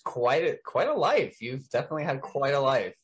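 A podcast host interviewing a guest, talking animatedly and quick to jump in. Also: medium pitch, sing-song.

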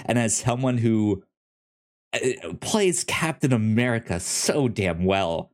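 A podcast co-host of unclear sex chatting casually about films in a halting, repetitive way with many false starts, sometimes excitable. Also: mid-range voice. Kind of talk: casual film review chat.

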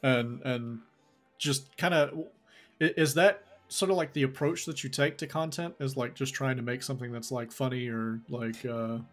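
A man's voice: medium pitch, moderate pace, faint music behind. A man hosting a casual podcast interview, asking loose, rambling questions. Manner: relaxed, rambling questions with many likes and restarts. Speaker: podcast host.

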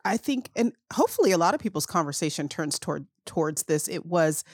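A woman's voice: lowish voice, very animated, brisk, husky, dry recording, studio quality. An American woman speaking casually as a podcast co-host in conversation. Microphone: close podcast microphone.

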